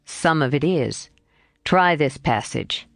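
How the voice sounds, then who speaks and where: A woman voicing a kind, quietly wistful young male tutor in a storybook reading. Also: low voice, lively intonation, takes it slowly, creaky, no echo, clean studio sound, full character voice.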